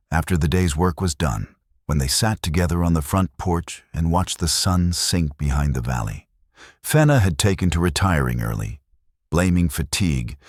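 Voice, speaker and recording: Very deep-voiced male storyteller. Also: no room sound, clean studio sound. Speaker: storyteller narrator. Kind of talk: scripted audiobook narration of a Western romance.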